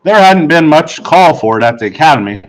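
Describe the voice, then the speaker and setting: A man's voice: on the high side, big pitch swings, fairly quick, poor audio. A man reading a first-person children's story aloud as scripted narration. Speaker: audiobook reader.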